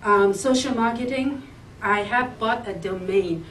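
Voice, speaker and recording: A woman from Zambia pitching her business plan, hesitating often with ums and uhs. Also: measured pace, a bit bright. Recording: echoey room, mic far off, faint hiss.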